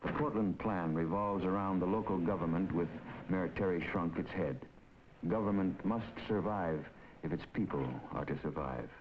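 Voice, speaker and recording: A man giving measured, authoritative scripted narration for a 1950s documentary film. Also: deep voice, a little hiss or hum. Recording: dull 1950s film soundtrack with music.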